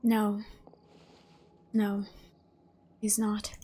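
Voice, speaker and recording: A young adult woman doing casual gameplay commentary as a streamer, reacting aloud in an expressive, emotional way. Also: mid-range voice, drawn-out words, a little hiss or hum. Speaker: game streamer. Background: game music.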